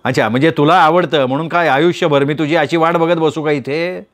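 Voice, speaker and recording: Male reader as an earnest, hesitant young lover in a storybook reading, slightly high voice. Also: fairly even intonation, brisk, natural voice. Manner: hesitant, earnest, low-key. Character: earnest shy young man in love.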